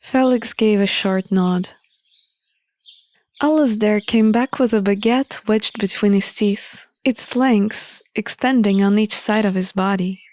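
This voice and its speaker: A woman reading a visual novel aloud as an expressive narrator of a playthrough. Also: mid-range voice, measured pace, dry recording.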